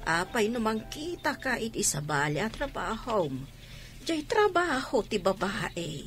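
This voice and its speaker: A woman's voice: a little high, very animated, measured pace, music in the background. A woman playing a concerned, reproving mother in a Filipino radio drama. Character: concerned, reproving mother correcting her daughter.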